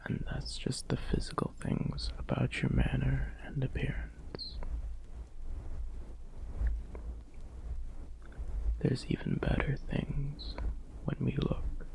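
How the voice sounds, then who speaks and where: A man playing a tender, adoring boyfriend in an ASMR roleplay, speaking in soft, breathy, gentle whispers. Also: medium pitch, completely flat delivery, unhurried, poor audio. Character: tender, adoring boyfriend comforting a sad partner.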